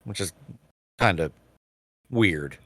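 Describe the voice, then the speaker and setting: Rambling podcast co-host, slightly low voice. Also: male voice, lively intonation, takes it slowly, pushed and bright, no room sound, studio quality. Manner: rambling, many fillers and restarts. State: losing his voice, clearing his throat. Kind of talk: casual chat.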